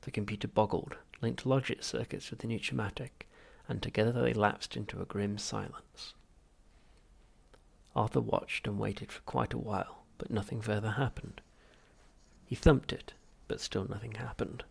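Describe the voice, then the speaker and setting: A man's voice: lowish voice, fairly even intonation, normal speed, vocal fry, breathy edge. A man reading a comic novel aloud as scripted audiobook narration. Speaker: audiobook reader.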